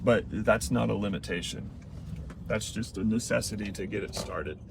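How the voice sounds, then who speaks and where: A slightly hoarse man hosting a casual solo vlog, talking in a rambling, wry, self-mocking way from inside a moving car. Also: fairly low pitch, moderate pace. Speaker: show host. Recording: loud road noise from a car.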